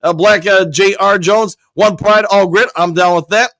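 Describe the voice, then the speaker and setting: A man's voice: high-pitched, steady tone, moderate pace, fairly bright tone, dry recording. A man hosting a live stream, reading viewers' comments aloud and answering them upbeat, his voice nearly worn out. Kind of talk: casual live-stream chat.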